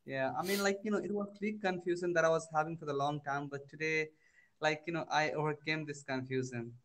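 A man's voice: on the high side, steady tone, a little fast, fairly bright tone. A young man from India with a Bihari Indian accent, a student practicing English on a video call, hesitant and full of fillers like "you know" and "I mean". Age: young adult. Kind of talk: casual conversation lesson.

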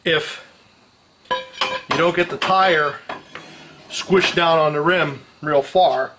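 A man's voice: a little high, takes it slowly, bright, forceful tone. A middle-aged man giving a casual hands-on tutorial in a garage, talking in folksy, salty slang as he works, picked up a few feet from the camera. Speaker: mechanic, host of a how-to video. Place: garage with open door. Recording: clanking metal tool noise.

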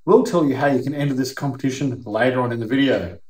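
A man's voice: mid-range voice, quick pace, darkish tone. A middle-aged man with an Australian accent hosting an explanatory video in a conversational, emphatic teaching manner. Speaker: host. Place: workshop or garage, indoors. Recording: roomy, echoing indoor sound.

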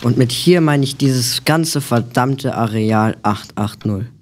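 A woman's voice: rumbling low, slow pace, noisy background. A female performer playing a serious, worried boy on the run in an audio drama. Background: music.

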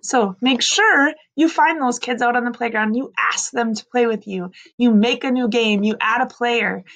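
A woman in her thirties reads aloud as a teacher would to young children. Her voice sits a bit high. She is expressive, drawing out key words for dramatic effect. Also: normal speed. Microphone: computer mic on a screen-share video recording.

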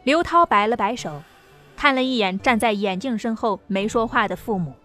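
A woman narrates a scripted audio drama. Her voice is fairly high, and soft music plays faintly behind her. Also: moderate pace.

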